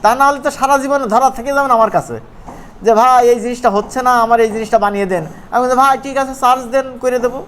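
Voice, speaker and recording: A man's voice: extremely high pitch, steady tone, very fast, a bit bright. Bangladeshi man teaching, noisy recording. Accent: Bangladeshi regional Bengali. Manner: conversational, often asks check-back questions. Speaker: animation course instructor. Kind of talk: informal software tutorial to students. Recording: noisy room, clearly audible background noise.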